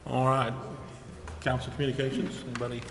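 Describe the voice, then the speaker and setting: A man's voice: mid-range voice, little pitch movement, fairly quick. A man chairing a council meeting, making informal remarks in an echoey, noisy chamber. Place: indoor city council chamber.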